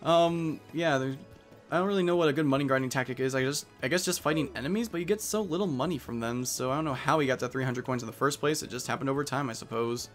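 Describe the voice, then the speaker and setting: A man's voice: mid-range voice, fast, faint music behind. A man giving casual, jokey, rambling commentary as host of a game playthrough. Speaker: let's play host.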